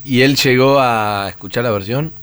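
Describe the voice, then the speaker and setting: A man hosting a casual radio interview, chatty and quick with questions. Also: medium pitch, a bit bright, clean tone, faint music behind. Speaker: radio host.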